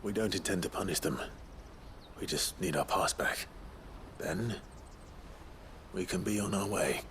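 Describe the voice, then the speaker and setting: An actor playing a calm, steady adventurer hero in a video game, low-key and reassuring. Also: male voice, unhurried, a little hiss or hum.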